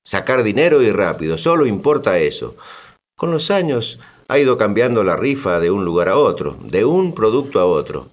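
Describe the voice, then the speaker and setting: A man playing an indignant, sardonic storyteller in radio theatre, talking conversationally with expressive irony. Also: lowish voice, normal speed, dull audio. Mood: bitter irony. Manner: expressive, ironic, mimicking orders. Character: indignant, sardonic male storyteller recounting colonial exploitation.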